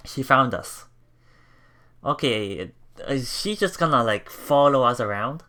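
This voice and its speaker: Casting: excitable let's play streamer, bright voice, very animated intonation, casual read-aloud narration. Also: medium pitch, takes it slowly, long pauses. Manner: excitable, repeats words and phrases. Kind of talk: reading game text aloud with casual reactions.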